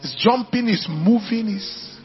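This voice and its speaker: A Nigerian man delivers an animated sermon in a high-pitched voice, hammering phrases through repetition as he addresses a congregation. The recording is somewhat dull and lo-fi, with music playing behind him. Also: a little slow. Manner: emphatic repetition, declaiming to congregation. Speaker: preacher.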